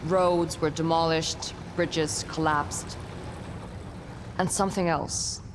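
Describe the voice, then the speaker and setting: A woman's voice: deep voice, flat delivery, takes it slowly, background noise. A woman playing a guarded young warrior in a video game, speaking in a dry, understated way. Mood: grave, reflective. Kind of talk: video game cutscene acting.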